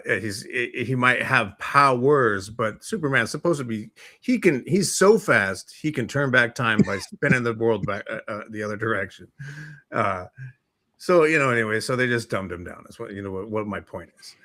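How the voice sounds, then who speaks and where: A man with a fairly low but bright, forceful voice chats casually as a show co-host. He rambles and stumbles over repeated words. The recording is dry. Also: moderate pace, dry recording. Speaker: co-host of a geek culture morning chat show.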